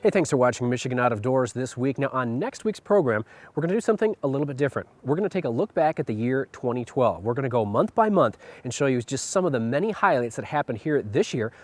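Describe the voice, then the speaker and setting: Fast-talking male outdoor show host. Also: medium pitch, very animated, darkish tone, soft background music. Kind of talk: casual sign-off to camera.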